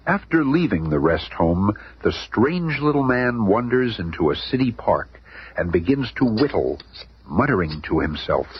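A man's voice: on the low side, sing-song, moderate pace, breathy edge, heavily acted. A man narrating a superhero radio serial in a grandiose, theatrical storytelling style. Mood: dramatic. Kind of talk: dramatic scripted radio narration. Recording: noisy, muffled old radio recording.

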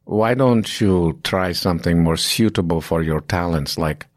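Man doing a Greek accent, older male acting teacher, condescending, cutting, deep voice. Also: unhurried, dry recording.

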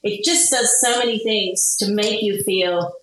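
A woman from Kansas in her forties, answering interview questions in an animated way and laughing often. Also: mid-range voice, unhurried. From Kansas, USA. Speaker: guest, product founder. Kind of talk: interview conversation. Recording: very echoey room, faint noise.

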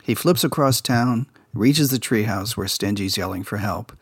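Low husky man recapping an episode. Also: moderate pace, no room sound, clean studio sound. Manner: relaxed insider storytelling, recounting production details. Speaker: podcast host recapping episodes. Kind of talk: casual episode recap commentary.

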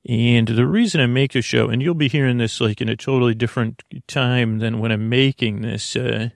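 Male American podcast host for sleep monologue: creaky, dry studio sound, rambling, hesitant, drifting delivery. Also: mid-range voice, steady tone, dry recording. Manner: meandering, halting, keeps trailing off. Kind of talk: rambling bedtime monologue to help listeners sleep.

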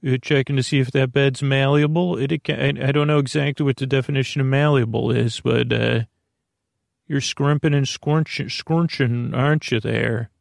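A man hosting a bedtime podcast in a creaky voice, meandering and halting as he repeats himself and drifts off on tangents. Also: medium pitch, fairly even intonation, no echo, clean studio sound. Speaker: podcast host. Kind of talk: rambling bedtime sleep-podcast monologue.

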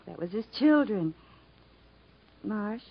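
An actress playing an earnest, cautious young country sweetheart in a 1950s American radio drama, gently pressing and a little hesitant. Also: female voice, mid-range voice, expressive, takes it slowly. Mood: earnest, gentle. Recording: dull 1950s radio broadcast recording.

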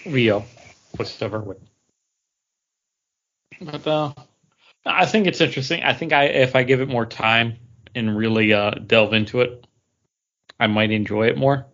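A man's voice: medium pitch, measured pace, long pauses, fairly bright tone. A man co-hosting a podcast, chatting casually as he reviews comic books. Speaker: podcast co-host. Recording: dull lo-fi podcast audio.

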